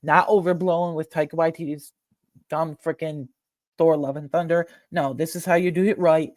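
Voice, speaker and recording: An opinionated video host with a medium-pitched voice talks straight to viewers in a casual, slangy way. The delivery is conversational and emphatic, like someone defending their picks in a movie ranking. The sound is dry, with very little room around the voice. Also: fairly even intonation, normal speed, a little mellow, dry recording.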